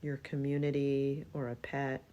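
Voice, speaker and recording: Slow, low-voiced woman guiding meditation. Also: monotone, mellow, dull audio. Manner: soothing, unhurried, with long pauses. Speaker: yoga nidra meditation guide. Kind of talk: guided relaxation meditation.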